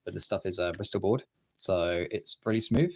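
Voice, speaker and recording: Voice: low-pitched, normal speed, a little mellow. Someone giving a casual hands-on art tutorial, rambling and thinking aloud while working.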